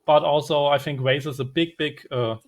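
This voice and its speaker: A man's voice: fairly high pitch, steady tone, normal speed. A man co-casting an esports show, giving casual analysis with frequent hesitations. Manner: conversational, filler-heavy with frequent uhs. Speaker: esports co-caster.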